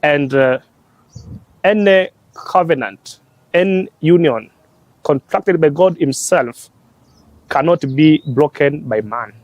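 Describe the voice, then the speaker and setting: Young Tanzanian-born religious brother, unhurried and hesitant, slightly high voice, recorded dry and close. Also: male voice, expressive, darkish tone, no room sound, muffled recording. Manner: slow, halting delivery in short phrases with frequent "uh". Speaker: religious brother and law student. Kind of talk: explaining church teaching on a talk show.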